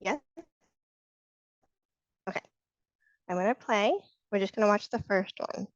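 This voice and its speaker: Brief: hoarse female instructor on a video call, patient and encouraging, dry dull sound. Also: medium pitch, a little slow, no echo. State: hoarse from a cold. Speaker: sign language instructor. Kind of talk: online class teaching.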